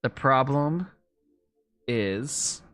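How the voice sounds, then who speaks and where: A man's voice: mid-range voice, expressive, extremely slow, a bit bright, clear, resonant tone, dry recording, soft background music. A man streaming a video game, thinking aloud, chatting with his viewers and reading on-screen text as he plays. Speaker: gaming streamer. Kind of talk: casual let's-play commentary.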